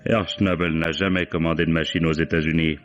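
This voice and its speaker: A man's voice: very deep voice, flat delivery, brisk, fairly bright tone. An actor playing a shrewd, affable but insistent police commissioner in a vintage French radio mystery, courteous as he questions. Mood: dry, confronting. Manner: courteous, chuckling, probing interrogator. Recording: dull old radio recording, music underneath.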